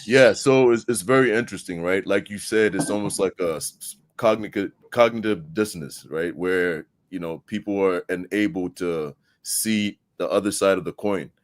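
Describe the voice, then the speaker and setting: A man's voice: deep voice, moderate pace, hardly any pauses. A man from Zambia with a Zambian accent, chatting casually as an interview guest and explaining things with frequent tag questions.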